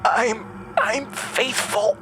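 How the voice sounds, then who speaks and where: A man's voice: high-pitched, extremely slow, over music, voice pushed up. A man voicing a frightened young woman in a horror audio drama, pleading and stammering in a strained, gasping voice. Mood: choked desperation. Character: frightened, pleading young female camp accomplice, desperate.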